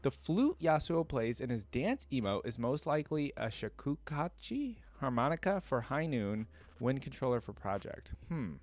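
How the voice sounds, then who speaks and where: A man's voice: mid-range voice, sing-song, moderate pace, hardly any pauses, darkish tone. A man hosting a reaction video, thinking aloud and talking to viewers in an informal, slangy, chatty way.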